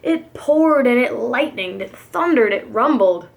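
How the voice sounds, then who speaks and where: A woman reading a storybook to young children as a preschool reader, with dramatic, deliberate phrasing. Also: fairly high pitch, lively intonation, creaky.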